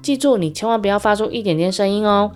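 A woman's voice: medium pitch, fast talker, a little mellow, soft background music, close to an everyday voice. A woman voicing a sly, scheming male fox in a children's story. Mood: dismissive.

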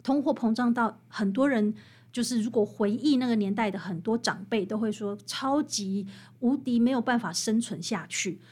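Taiwanese mandarin lady, kinda high voice, guest author explaining stuff, animated n emphatic. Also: moderate pace. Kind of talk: expressive explanatory interview talk.

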